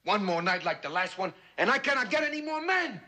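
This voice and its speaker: Volatile smuggler, wildly swooping voice. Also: male voice, high voice, big pitch swings, brisk, bright, forceful tone, heavily acted. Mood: urgent, wary. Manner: emphatic, broken English, taunting. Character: volatile, boastful whiskey smuggler, suspicious and menacing. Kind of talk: television crime drama acting. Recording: noisy old TV soundtrack.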